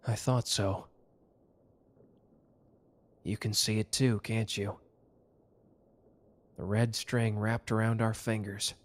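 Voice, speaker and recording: A man voicing a protective young male mafia member in an ASMR roleplay, speaking softly and reassuringly. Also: fairly low pitch. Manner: soft, intimate, reassuring.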